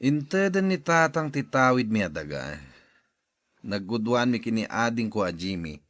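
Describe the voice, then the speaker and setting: Man as greedy eldest son, calculating, radio drama, lively intonation, dry close recording. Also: mid-range voice, unhurried, dry recording. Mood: discontented. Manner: calculating, chuckling, expressive.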